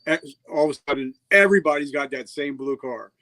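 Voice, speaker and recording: A man's voice: a little high, brisk, sharp tone. A man hosting a podcast, asking questions in an informal, plainspoken, conversational way. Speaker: podcast host. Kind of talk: conversational interviewing.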